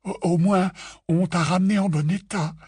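A man's voice: high voice, steady tone, normal speed, darkish tone, husky, no room sound, studio quality, pitched above the performer's own voice. A man voicing a joking young male wizard twin in a storybook reading. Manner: joking, wry.

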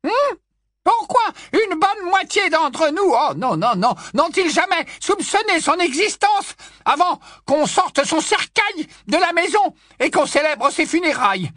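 Brief: shrill, cackling ancient aunt, swooping wildly in a dry, close booth. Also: male voice, sing-song, measured pace, fairly bright tone, dry recording, full character voice. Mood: gloating. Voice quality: shrill, high squawk, endlessly repeating "non, non, non". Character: cackling, nosy, ancient aunt, 107 years old. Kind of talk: storybook reading.

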